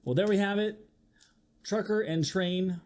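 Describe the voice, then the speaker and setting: A man's voice: sits high, measured pace. A man reading a children's picture book aloud as a teacher would, expressively, putting questions to his young listeners. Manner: expressive, acts out sound effects, asks listeners questions. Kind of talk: animated children's storybook read-aloud.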